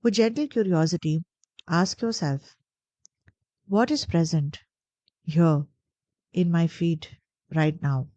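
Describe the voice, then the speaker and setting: A woman guiding a meditation in a gentle, deliberate, soothing way, pausing between phrases. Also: lowish voice, no room sound, lo-fi sound. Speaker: meditation guide. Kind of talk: guided meditation narration.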